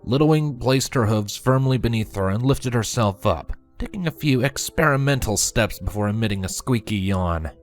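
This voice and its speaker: A man giving an expressive scripted narration of a fan fiction story. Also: medium pitch, moderate pace, hardly any pauses, soft background music.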